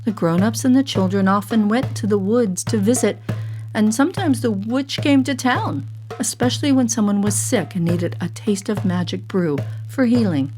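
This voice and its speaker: A woman narrating a children's story in a theatrical, deliberate way, with music behind her. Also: mid-range voice, a little mellow, rough recording. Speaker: storyteller and voice artist.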